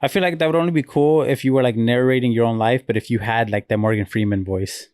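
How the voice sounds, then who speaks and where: A man's voice: medium pitch, steady tone, fast talker, a little mellow, dry recording. A man co-hosting a podcast, talking in a laid-back way with short replies on a close mic. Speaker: podcast co-host. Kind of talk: casual chat.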